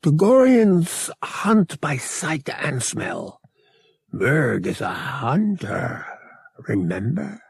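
A big, fierce catlike alien hunter, as a male narrator plays him in a science fiction audiobook. He speaks slowly in blunt, formal, matter-of-fact statements, with a rough edge to the voice. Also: on the high side, huge pitch swings, no echo, pitched above the performer's own voice, full character voice.

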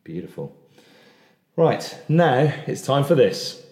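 A man's voice: medium pitch, sing-song, slow, roomy sound, noisy background. A man hosting a casual rugby chat, enthusiastic and opinionated, often restarting his words. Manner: enthusiastic, opinionated, repeats words when starting. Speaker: podcast host.

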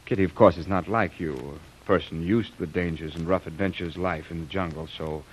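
An actor playing a grave, kindly jungle doctor in an old radio drama, measured and a little hesitant. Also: male voice, low voice, natural voice. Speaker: doctor character. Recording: noisy old radio recording.

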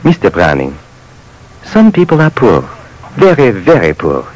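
An actor playing a poor, earnest old pawnbroker in a vintage radio drama, speaking in halting, philosophical phrases. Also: male voice, lowish voice, wildly swooping pitch, darkish tone, heavily acted. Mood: earnest, pleading. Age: older. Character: poor old pawnbroker who lends money on souls.

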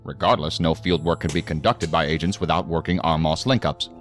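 A commanding middle-aged boss of federal agents with a low voice. He speaks formally and with clipped authority, as in a sci-fi audio drama, with music clearly underneath. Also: brisk, fairly bright tone. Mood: stern, official. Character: stern, commanding middle-aged male federal agency superior. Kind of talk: science fiction audio drama acting.

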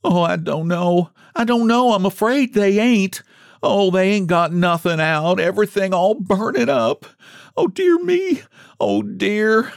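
A male narrator puts on an older rural mother, helpless and stubborn in her despair. The pitch climbs high and swoops around as the voice wails and repeats its laments in storybook country dialect. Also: lively intonation, measured pace, gravelly, no room sound, clean studio sound, full character voice. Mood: distraught. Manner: repetitive lamenting in rustic dialect.

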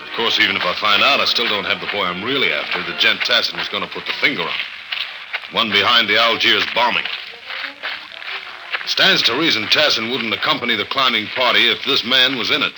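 A man's voice: medium pitch, close to an everyday voice. A man playing a tough, blunt, sardonic investigator in an old-time radio drama. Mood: grim narration. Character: tough, hard-boiled male investigator, dry and commanding. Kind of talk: old-time radio drama acting with first-person narration. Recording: noisy old radio show recording.

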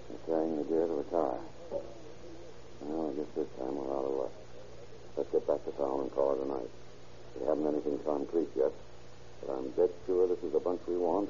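An actor playing a gruff American police chief in an old-time radio crime drama, giving clipped, slangy orders on a muffled, noisy vintage recording. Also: male voice, a bass voice, brisk, long pauses. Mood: grim, determined. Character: gruff, commanding police chief, cautious and determined.

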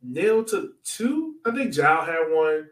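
A man's voice: fairly high pitch, big pitch swings, takes it slowly. A man co-hosting a sports podcast in casual, informal soccer chat, often tossing in asides. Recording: roomy echo, distant from mic.

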